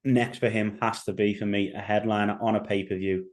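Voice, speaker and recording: A man's voice: lowish voice, level tone, a little fast. A young man with a Liverpool accent giving casual solo commentary as a podcast host, rambling with fillers and restarts. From England.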